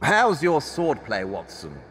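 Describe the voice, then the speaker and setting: A man's voice: medium pitch, huge pitch swings, slow, fairly bright tone, soft background music, full character voice. An actor playing a curt, probing, self-assured male detective in an audio drama. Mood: cool daring. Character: brisk, incisive, commanding male detective. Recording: echoing hall reverb.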